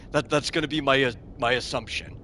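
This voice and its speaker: A man's voice: medium pitch, bright, forceful tone, a little hiss or hum. A man streaming a game, chatting casually and answering his chat, often repeating a word as he starts a sentence. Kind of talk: casual commentary while playing a game.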